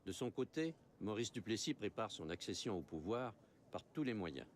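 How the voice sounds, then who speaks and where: Un homme qui raconte un documentaire historique d'un ton posé et régulier. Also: male voice, on the low side. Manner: measured, even storytelling. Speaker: documentary narrator. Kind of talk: scripted narration.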